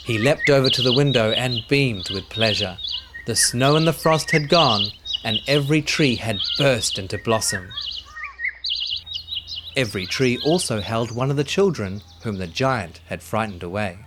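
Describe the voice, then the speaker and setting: A man narrating a children's story in an expressive, dramatic way, with music behind him. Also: medium pitch, moderate pace, very noisy.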